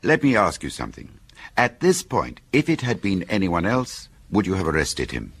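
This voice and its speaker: An actor playing a measured, probing gentleman in a radio drama, with a gravelly, husky voice. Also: sits low, very animated, heavily acted.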